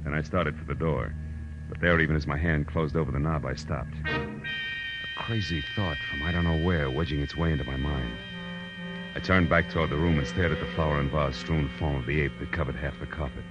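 A man's voice: rumbling low, fairly even intonation, a little fast. A man playing a wry, hard-boiled private detective in a 1940s radio noir drama, with clipped tough-guy delivery. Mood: tense, brisk. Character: hard-boiled male private detective, wry and sardonic, narrating his case. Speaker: lead actor and narrator. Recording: dull vintage radio recording with music.

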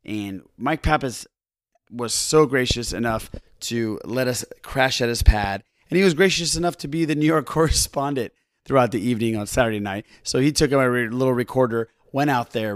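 A man's voice: mid-range voice, no room sound. Casual male podcast host, very dry sound. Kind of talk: casual podcast intro and outro.

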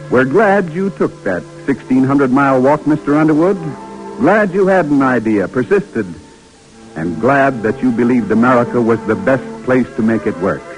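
A man reads the closing narration and credits of an old radio program. His delivery is formal and measured, with a lively swing in pitch. Faint music plays under his voice. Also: rough recording. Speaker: radio announcer. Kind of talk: scripted narration.

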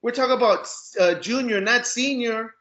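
Joking male co-host, bright voice. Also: very high-pitched, moderate pace. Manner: joking, teasing. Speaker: podcast co-host. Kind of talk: casual chat. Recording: dull lo-fi sound.

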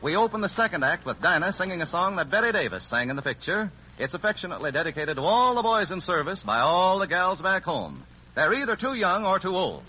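A man's voice: high-pitched, sing-song, fairly quick, bright, forceful tone, lo-fi sound, slight background noise. A man working as a radio show host, making showman-like scripted introductions in 1940s announcing style. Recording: band-limited old radio recording.

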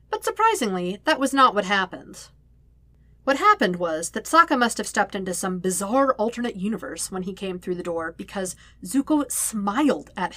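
Female audiobook-style narrator, expressive and wry, mid-pitched with animated melody, reading scripted fiction. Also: dry recording. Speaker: podfic narrator.

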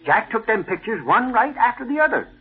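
A loud, cocky newspaper tough guy in a vintage radio play. His high voice swoops excitedly through slangy, blustery lines. The recording is thin and tinny. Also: brisk, slightly rough, full character voice. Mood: matter-of-fact. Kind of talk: old-time radio drama acting.

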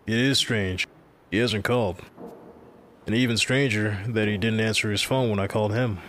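An actor playing a calm, fair-minded middle-aged man who speaks in a measured, reasonable way. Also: fairly low pitch, a little hiss or hum, natural voice. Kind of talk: audio drama acting.

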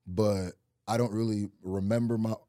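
A man's voice: fairly low pitch, moderate pace, dry recording, clean studio sound. A young man from Nebraska, a rapper and YouTuber, chatting as an interview guest in a loose, rambling way full of "like" and restarts. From Nebraska, USA, early childhood in Nigeria. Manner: relaxed, rambling, many likes and restarts. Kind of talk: casual interview chat.

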